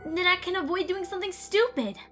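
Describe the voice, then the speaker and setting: Woman acting as young female pony scholar, high-pitched, sharp bright tone, coldly curious, falsely sweet, unhinged, audio drama. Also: brisk, soft background music. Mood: earnest, obsessive. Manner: clinical, precise, falsely sweet. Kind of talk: dramatic fan fiction audio reading.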